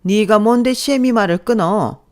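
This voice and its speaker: A woman voicing a domineering middle-aged mother-in-law who sneers and belittles in a sharp, high voice, as part of a told story. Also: animated, moderate pace, close to an everyday voice. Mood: scolding. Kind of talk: storytelling with acted dialogue.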